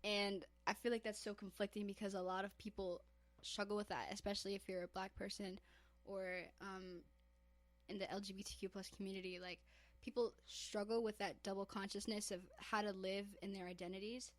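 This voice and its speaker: A woman's voice: medium pitch, level tone, brisk. A young woman co-hosting a podcast, talking through ideas in a reflective, casual way. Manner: reflective, builds ideas at length. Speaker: podcast co-host. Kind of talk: casual chat.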